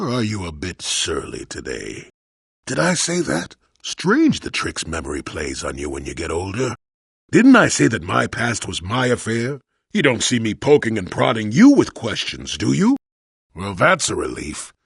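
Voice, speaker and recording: Gravelly, cantankerous old hermit Jedi. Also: male voice, mid-range voice, no echo, clean studio sound, full character voice. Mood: grumpy, mocking. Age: older. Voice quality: gravelly, husky. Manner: grumbling, sardonic, theatrically expressive. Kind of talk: video game character dialogue.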